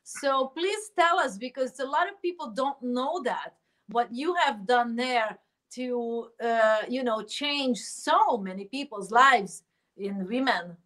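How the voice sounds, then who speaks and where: Enthusiastic woman host, higher voice. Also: lively intonation, a bit bright. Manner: enthusiastic, quick questions with fillers. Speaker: interview host. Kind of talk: online interview.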